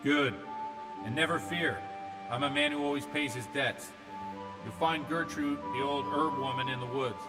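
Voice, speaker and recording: A man's voice: mid-range voice, normal speed, natural voice. Casting: actor as fatherly, fretful village priest, game drama, emphatic preaching manner, big hall reverb over music. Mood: authoritative. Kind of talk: dramatic video game acting.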